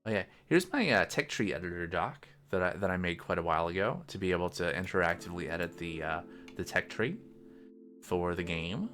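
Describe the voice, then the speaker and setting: Low-voiced man thinking aloud while coding. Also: expressive, a bit bright, soft background music. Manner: halting, muttering to himself while working. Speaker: game developer streaming his work. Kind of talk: casual think-aloud coding stream commentary.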